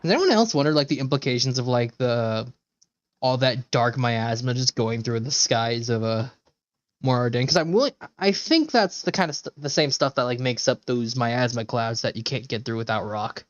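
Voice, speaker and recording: A low-key male streamer rambling casually at a middling pitch. The mic is dry and close, with a slightly dull sound. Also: normal speed, no room sound. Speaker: live streamer. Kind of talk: casual livestream chat.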